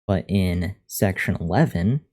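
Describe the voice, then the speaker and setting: Deep, mellow, unhurried man, monotone delivery. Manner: thinking aloud, reading out and answering chat. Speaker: live streamer learning to code. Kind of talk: casual live-stream chat while coding. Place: untreated room at a computer. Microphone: USB condenser microphone at a desk.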